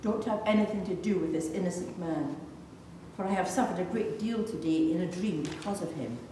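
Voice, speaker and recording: A woman's voice: lowish voice, fairly quick, mellow. Woman reading scripture parts in echoing church. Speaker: scripture reader of several character parts. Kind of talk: scripted dramatised Bible reading. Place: large reverberant church, read aloud. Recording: echoey church hall, distant, faint noise.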